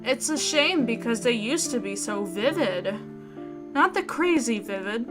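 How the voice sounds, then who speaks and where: A woman voicing an anxious, caring young woman narrating a visual novel in the first person with expressive introspection. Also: on the high side, close to an everyday voice. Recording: guitar music behind. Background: plucked guitar music.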